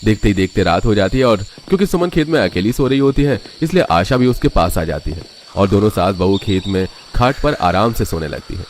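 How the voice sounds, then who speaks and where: Low-voiced man narrating a story. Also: expressive, fairly quick, a little hiss or hum. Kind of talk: scripted cartoon story narration.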